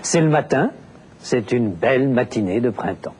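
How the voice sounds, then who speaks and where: A man narrating a language lesson, explaining deliberately with very clear enunciation. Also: medium pitch, expressive, a little mellow, slight background noise. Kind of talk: scripted teaching narration.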